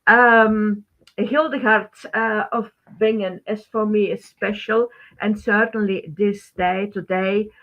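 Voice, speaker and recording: A middle-aged woman from Belgium, a native Dutch speaker with a Belgian Dutch accent, presenting a webinar informally in halting, non-native phrasing. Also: medium pitch. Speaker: webinar host, teacher. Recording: thin phone-like band-limited webinar audio.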